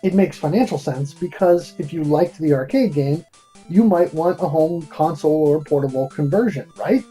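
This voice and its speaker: A man's voice: on the high side, normal speed, dark tone, faint music behind. A man hosting a retro video game review, reading scripted narration in a theatrical, wordy and wry manner.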